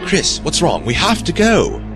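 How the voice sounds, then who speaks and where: An actor playing a protective, quick-witted adventurer in an audio drama, talking casually. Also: male voice, on the high side, sing-song. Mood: urgent, reassuring. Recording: music behind the voice.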